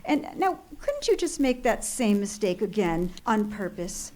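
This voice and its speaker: A woman's voice: mid-range voice, sing-song, a little slow, a little mellow. An actress playing a fretful, demanding woman on the telephone in an old-time radio thriller, agitated and insistent. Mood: exasperated, insistent. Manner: agitated, insistent, theatrical, stammering with alarm. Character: fretful, demanding, highly strung telephone caller in distress.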